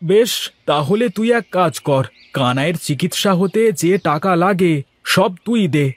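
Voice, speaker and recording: A man's voice: high-pitched, lively intonation, moderate pace. A man voicing a cunning, pushy village trickster in a cartoon moral story. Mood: threatening.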